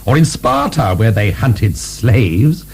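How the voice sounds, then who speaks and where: An actor playing a provocative aristocratic philosopher in a radio drama, with an urbane, drily ironic, mock-deferential manner. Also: male voice, on the low side, very animated, slow pace, darkish tone, slightly rough, full character voice. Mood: blandly provocative. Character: provocative male aristocratic libertine philosopher, politely mocking. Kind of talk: British radio drama acting.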